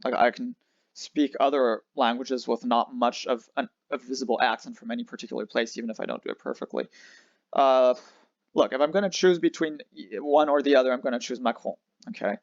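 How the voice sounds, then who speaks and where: A young man with a Geneva Swiss accent in French rambles through a casual livestream, drifting between French and English and restarting his sentences often. His voice sits at an ordinary pitch. The recording is dry and a little dull and lo-fi. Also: brisk, no echo. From France near Switzerland. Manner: rambling, restarts, switches language. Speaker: livestream host.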